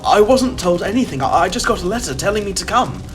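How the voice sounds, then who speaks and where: A terrified narrator of a gothic horror tale. His delivery is halting and full of dread, stumbling over words. Music plays behind him. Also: high-pitched, lively intonation. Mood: frightened confusion. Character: terrified male narrator summoned to an ancient family rite. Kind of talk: gothic horror audio drama acting.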